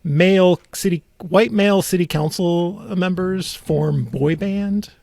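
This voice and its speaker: Male podcast host, slightly high-pitched with heavy fry, conversational and halting, thoughts trailing off. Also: a little mellow, no room sound, clean studio sound. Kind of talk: casual local-politics podcast chat.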